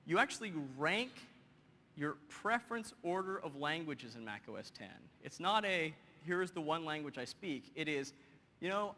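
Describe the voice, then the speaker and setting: A man in his thirties gives an energetic software demo on a big conference stage. His voice is bright and forceful, carried by a lapel mic. The long reverb of a large hall and a faint noise floor trail behind his words. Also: on the high side. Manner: energetic, quick and joking with the audience. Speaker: presenter.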